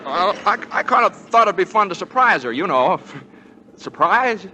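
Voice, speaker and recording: Bright-voiced, flustered young newlywed. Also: male voice, high voice, big pitch swings, measured pace, a little hiss or hum, heavily acted. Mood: evasive, coaxing. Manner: hesitant, stammering, placating. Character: eager, nervous young newlywed lawyer, bumbling and evasive. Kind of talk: 1940s radio comedy-drama acting. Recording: 1940s radio broadcast recording.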